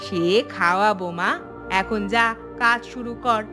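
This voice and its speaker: A woman voicing a kindly old village grandmother in a cartoon, affectionate and unhurried, lingering over her words. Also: mid-range voice, lightly acted. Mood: fond, reassuring. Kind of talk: Bengali cartoon voice acting. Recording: music behind the voice. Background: background music.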